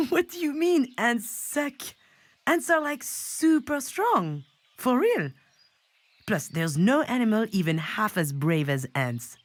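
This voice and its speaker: An actress playing an introspective, wry woman in a narrative video game, speaking in a measured way over music. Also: on the high side, very animated, exaggerated voice. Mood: playful, tender. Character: Junon, troubled failed screenwriter, wry introspective woman and mother. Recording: music under the voice.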